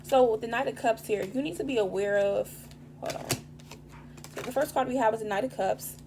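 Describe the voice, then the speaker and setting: A woman reads tarot cards in a loose, rambling way, swearing often and leaning on street slang. Her voice is raspy this morning and she talks quickly. A steady hum and background noise sit under the recording. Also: fairly high pitch. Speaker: tarot reader. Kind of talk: casual tarot reading.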